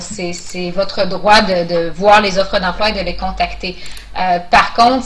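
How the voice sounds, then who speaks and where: A woman's voice: mid-range voice, slight background noise, poor audio. A woman answering questions in a webinar as an immigration officer, explaining procedures in a hesitant, informal way.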